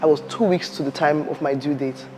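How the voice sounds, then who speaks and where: A woman's voice: low-pitched, fairly quick. A woman guest telling a story in an interview, acting out the voices of others and laughing as she goes. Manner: animated, acts out quoted voices, laughs while telling.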